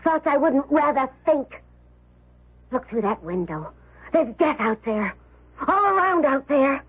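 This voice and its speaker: A woman's voice: sits high, very animated, measured pace. A woman acting a jaded, blunt, defiant character in an old radio drama. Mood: appalled. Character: jaded, defiant, frank woman with tough edge. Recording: muffled, narrow-band old radio broadcast.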